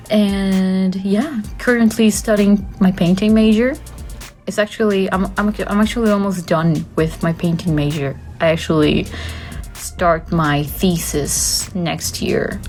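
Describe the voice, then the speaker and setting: A woman's voice: mid-range voice, fairly even intonation, takes it slowly, darkish tone. A woman, chatting casually to camera as a vlogger, wandering off on tangents with frequent laughs and casual swearing. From Costa Rica. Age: 20s. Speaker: art student and content creator. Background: faint background music.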